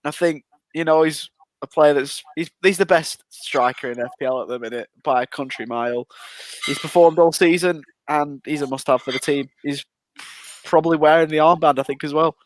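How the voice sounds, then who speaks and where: A man with a fairly high voice rattles along quickly, upbeat and teasing in a casual panel chat. His voice is dry and close-miked. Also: no echo, rough recording. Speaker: co-host.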